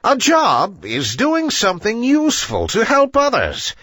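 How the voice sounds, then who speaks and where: A wise old storybook owl, acted out by a man, pitched high and swooping with emphasis. He hoots with impatient authority, and the voice is husky, recorded close and dry. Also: huge pitch swings, a little slow, bright, forceful tone, dry recording, exaggerated voice. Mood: explaining. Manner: authoritative, emphatic hooting delivery. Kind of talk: children's storybook character reading.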